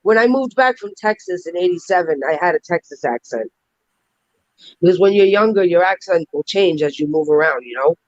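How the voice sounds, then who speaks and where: A middle-aged woman with a raspy voice and a New York accent, chatting and joking on a live stream. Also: on the low side, no room sound, lo-fi sound. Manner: chatty, animated, quick to joke. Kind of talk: casual live stream chat.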